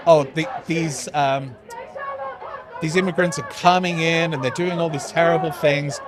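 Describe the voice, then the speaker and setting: Guy with kinda high voice explaining politics earnestly, outside, busy crowd noise behind. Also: steady tone, a little slow. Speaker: protest interviewee. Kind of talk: casual political argument. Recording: outdoor street with crowd noise.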